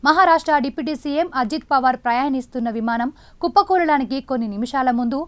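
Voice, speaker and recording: A woman's voice: high-pitched, fast, fairly bright tone, clean tone. A woman news presenter, delivering scripted news narration in a dramatic, emphatic way.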